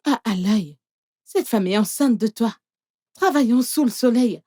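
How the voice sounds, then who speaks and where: Doubting mistress, reproachful, melodramatic, slightly high pitch, mellow tone, questioning. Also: female voice, lively intonation, unhurried, no room sound, clean studio sound. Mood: sceptical, questioning. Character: sceptical, reproachful mistress questioning her lover. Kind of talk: melodramatic film dialogue.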